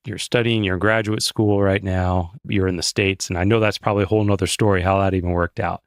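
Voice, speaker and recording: Curious male podcast host, low voice. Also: flat delivery, clean tone, no echo, studio quality. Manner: curious, asks questions, quick reactions like "wow". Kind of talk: casual interview.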